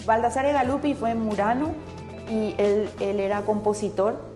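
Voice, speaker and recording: A middle-aged woman from Paraguay whose first language is Italian, talking as a guest on a TV studio set. She is chatty and full of fillers and restarts. Her voice sits at an ordinary mid pitch, with faint music behind her. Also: moderate pace. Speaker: interview guest, painter. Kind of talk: casual interview chat.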